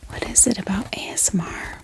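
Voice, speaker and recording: A woman speaking in a soft, gentle ASMR whisper, musing aloud in an unhurried way as she hosts. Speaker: ASMR book haul host.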